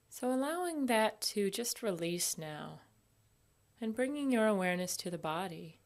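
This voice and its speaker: A woman with a fairly low voice leads a guided body scan meditation. She speaks as an instructor, gently and unhurriedly. She leaves long pauses between her phrases.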